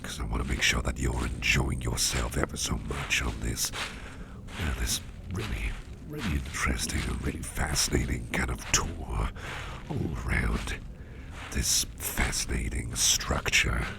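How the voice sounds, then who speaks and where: A man voicing a mischievous boss with sharp teeth in an ASMR roleplay, talking in a soft, teasing half-whisper. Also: rumbling low, a little slow, gravelly, noisy background, full character voice. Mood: confiding.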